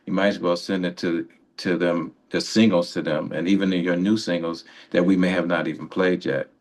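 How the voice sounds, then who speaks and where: A man's voice: deep voice, little pitch movement, moderate pace, darkish tone. A man co-hosting a radio show, explaining things in a casual, conversational way.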